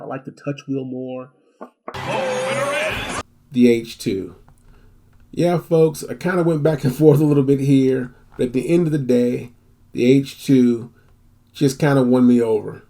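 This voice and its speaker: A man's voice: mid-range voice, dark tone. A man giving a casual, unscripted product review as host, rambling a little, repeating phrases for emphasis and using folksy slang.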